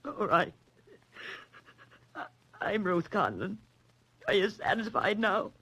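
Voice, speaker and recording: An American actress playing a secretive, anguished woman in her thirties, halting and pleading in melodramatic 1940s radio style. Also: medium pitch, sing-song, a bit bright, breathy edge, full character voice, low-quality recording. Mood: reluctant, bitter. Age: 30s. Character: anguished, secretive 35-year-old daughter of a convict.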